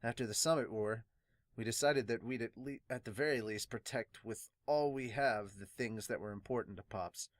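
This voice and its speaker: A man playing a weary, solemn pirate doctor in a fan manga dub, subdued, measured and earnest. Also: mid-range voice, no room sound, lightly acted. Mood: solemn, reflective. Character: weary, solemn veteran pirate doctor recounting his late captain.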